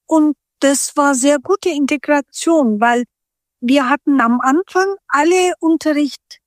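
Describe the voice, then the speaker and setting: A middle-aged woman from Turkey speaks in a high voice. She talks slowly and reflectively, often restarting sentences as she hunts for words. The recording is close and dry, with no room sound. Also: no echo. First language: Turkish. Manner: thoughtful, searching for words with frequent restarts. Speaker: guest. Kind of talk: reflective interview conversation.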